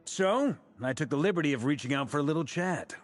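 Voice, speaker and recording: A man voices an affable young knight from a video game, a born schemer with a breezy, persuasive delivery. His pitch swings in animated, theatrical arcs, with music playing clearly behind him. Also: brisk, lightly acted. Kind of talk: video game dialogue acting. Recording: background music under the voice.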